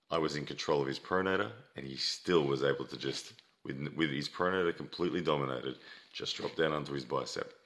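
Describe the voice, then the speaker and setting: Casting: man with an extremely low, hard-edged voice that is wearing out; blunt, scolding straight talk to an audience. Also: fairly bright tone. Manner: blunt, scolding, emphatic repetition. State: losing his voice, throat-clearing. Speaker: competitive arm wrestler. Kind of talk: casual opinion monologue to viewers.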